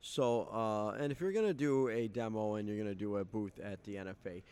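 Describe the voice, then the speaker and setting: A man co-hosting a casual podcast, talking in a halting way with many filler pauses. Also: fairly low pitch, darkish tone, clean tone. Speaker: podcast co-host and fireworks importer. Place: convention hotel room.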